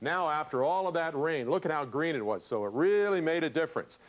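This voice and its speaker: American TV weatherman, slightly high voice. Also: lively intonation, fairly quick, low-quality recording. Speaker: TV weather forecaster. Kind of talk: ad-libbed live weather report with commentary on satellite maps.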